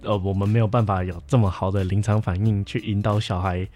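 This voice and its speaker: A man's voice: fairly low pitch, level tone, brisk, a little mellow, soft background music. A young man with a Taiwanese Mandarin accent chatting casually and reflectively as a podcast co-host, explaining things as he goes. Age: young adult.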